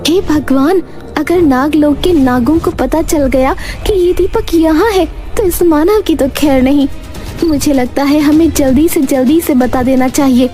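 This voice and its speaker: High-voiced earnest fairy played by a woman. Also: measured pace, mellow, soft background music. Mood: curious, alarmed. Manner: urgent, explanatory, commanding. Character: earnest female fairy warning a human. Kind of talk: animated fairy tale voice acting.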